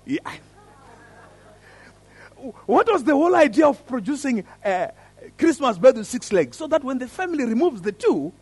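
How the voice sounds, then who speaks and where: A man with an African accent preaches in a church service, his voice husky and fairly high. He tells his story with lively swings of pitch and earnest emphasis, building on key words. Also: normal speed, no room sound. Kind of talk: sermon with prayer and story.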